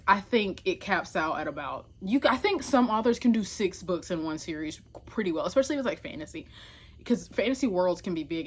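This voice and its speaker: A woman talking casually to camera as a book vlogger, informal and emphatic, often correcting herself with little asides. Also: on the low side. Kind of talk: casual chatty talk to camera.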